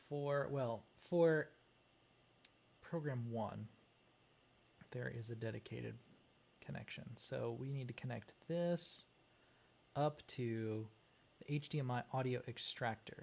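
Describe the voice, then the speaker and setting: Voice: mid-range voice, vocal fry, darkish tone, a little hiss or hum. A livestream host chatting casually and muttering hesitantly while thinking aloud through a task. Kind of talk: casual chat while working on a wiring diagram.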